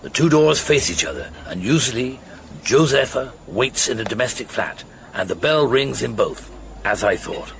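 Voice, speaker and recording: A man's voice: mid-range voice, lively intonation, moderate pace, gravelly, fairly bright tone, slight background noise. A man playing a patient middle-aged police inspector in a radio drama, speaking in a hesitant, wry murmur. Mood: wry, mild. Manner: hesitant, murmuring, stammers mildly. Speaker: actor in the lead role. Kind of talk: naturalistic radio drama acting.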